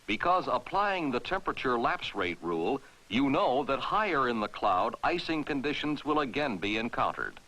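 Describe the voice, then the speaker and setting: An American man narrating a 1960s military training film in a formal, authoritative, clearly enunciated way, on a vintage film soundtrack. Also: medium pitch, very animated, normal speed, hardly any pauses, bright, forceful tone, rough recording.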